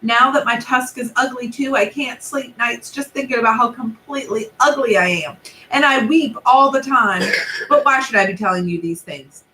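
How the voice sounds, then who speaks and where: Storybook creature: a self-pitying old rhinoceros, wailing and theatrical, pitched up, bright, read by a woman. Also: normal speed, distant mic, slight background noise. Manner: wails and frets, theatrical. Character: weepy vain old male rhinoceros. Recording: echoey room.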